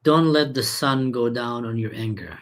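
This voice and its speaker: A man from Israel hosts the interview, talking earnestly and with emphasis at a mid-range pitch. His voice sits at an everyday level for a man, neither high nor low. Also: normal speed. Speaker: interviewer, host. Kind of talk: casual interview conversation.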